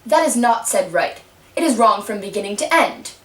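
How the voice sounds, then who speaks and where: A woman's voice: mid-range voice, expressive, a bit bright, lightly acted. A haughty caterpillar acted by a woman stretches out each question with lordly impatience. It is a puppet-show figure, heard a little way from the microphone in a live-sounding room. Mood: stern. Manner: drawn-out, imperious questioning. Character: slow, haughty, curt male caterpillar. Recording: roomy, distant home microphone.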